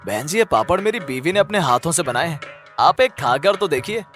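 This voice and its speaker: A man's voice: on the high side, big pitch swings, a little fast, a bit bright, faint music behind. A man voicing a good-humoured, affectionate village farmer and hawker in a cartoon story, coaxing and reassuring. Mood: polite.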